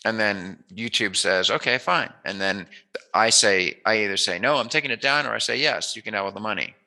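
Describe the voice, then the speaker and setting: Gravelly, bright-voiced man chatting as interviewer. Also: fairly low pitch, brisk. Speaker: interviewer, host. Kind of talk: casual interview chat.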